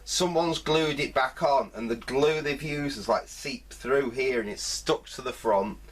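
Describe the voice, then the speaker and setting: A man's voice: mid-range voice, measured pace, slightly rough. A man giving a casual hands-on repair commentary, thinking aloud hesitantly in fragments. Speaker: host of a vintage electronics repair video.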